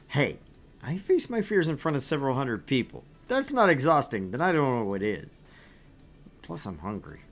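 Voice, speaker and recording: Animated man reading a game aloud. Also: fairly low pitch. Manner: lively, animated reading with asides. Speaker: let's play host. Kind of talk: reading a visual novel aloud with commentary.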